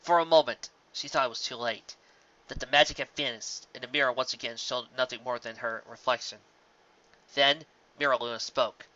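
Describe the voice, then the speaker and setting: A man's voice: medium pitch, steady tone, moderate pace, bright, forceful tone, no room sound, dull audio. A man reading a scripted story as its narrator, with dramatic delivery.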